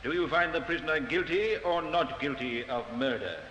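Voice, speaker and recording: An actor in a vintage radio drama playing a court clerk who formally intones questions. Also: male voice, a little high, moderate pace, lightly acted. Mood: formal, stern. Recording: noisy old radio recording, reverberant hall.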